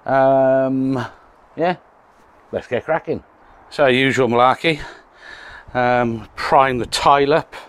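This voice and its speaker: A man's voice: mid-range voice, fairly even intonation, slow pace, pauses often, fairly bright tone. Welsh bloke in his 50s rambling thru a patio job outdoors, jokey asides, phone mic. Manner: chatty, self-mocking, rambling asides. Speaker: landscaper. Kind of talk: casual how-to vlog. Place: outdoor garden beside a house. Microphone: handheld phone, later a plugged-in mic.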